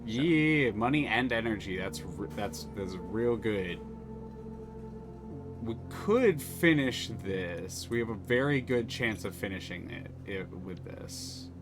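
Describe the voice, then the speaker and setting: Low-voiced man streaming a game. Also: animated, slow, music in the background. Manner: thinks aloud, restarts often. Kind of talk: live game stream, reading narration aloud.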